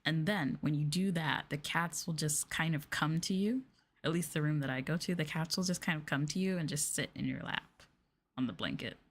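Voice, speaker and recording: A soft-spoken woman with a slightly low voice chats in a casual ASMR style. She sits close to the microphone, talking to viewers in a gushing, affectionate way. Her delivery often drifts toward a near-whisper. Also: normal speed. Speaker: ASMR streamer, VTuber host.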